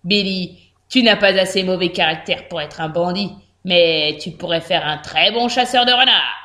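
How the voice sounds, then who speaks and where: A woman's voice: lowish voice, normal speed, sharp tone, roomy sound, voice pushed down. A woman voicing a hamster father in a children's story, stern and paternal toward his son. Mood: proud. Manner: sighing, paternal.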